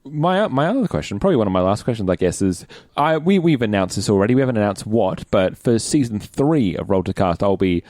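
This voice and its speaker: A man's voice: on the low side, animated, fairly quick, few pauses, a little mellow, no echo, clean studio sound. An Australian man hosting a casual podcast chat, talking in an informal, stop-start way. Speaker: podcast host and actor.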